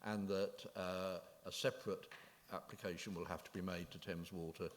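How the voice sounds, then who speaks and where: A man chairing a planning committee, reminding members of procedure in a formal, measured way with small pauses. His voice is on the low side. A long reverb from the council chamber trails after his words. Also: steady tone, a little hiss or hum.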